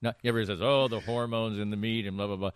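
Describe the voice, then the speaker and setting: A man's voice: on the low side, steady tone, very fast, clear, resonant tone, no room sound. A middle-aged man co-hosting a podcast, telling stories in casual banter with a rambling, emphatic delivery. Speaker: podcast co-host.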